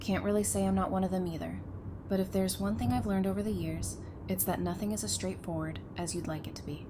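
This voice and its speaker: A woman's voice: mid-range voice, brisk, background noise, lightly acted. A woman acting a wry, guarded, tough-minded survivor in frank, conversational audio drama dialogue. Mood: serious, measured.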